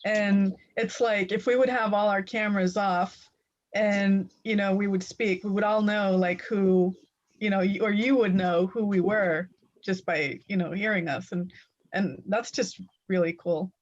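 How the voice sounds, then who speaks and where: A woman's voice: medium pitch, fairly even intonation, a little slow, muffled recording. A woman chatting on a video call, encouraging and full of praise for others. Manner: warmly encouraging, gives lots of praise. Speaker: party guest. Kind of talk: casual video-call chat.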